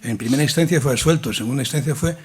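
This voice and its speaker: A man's voice: mid-range voice, racing, slight background noise. A man speaking at a public colloquium, rambling through a long explanation with many restarts and fillers. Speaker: invited speaker. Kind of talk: lecture-style talk in a colloquium.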